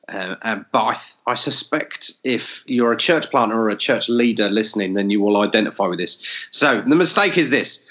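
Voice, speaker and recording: A man hosting a podcast, asking questions of a church leader in a relaxed, easygoing way. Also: mid-range voice, muffled recording. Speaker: podcast host. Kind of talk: podcast interview.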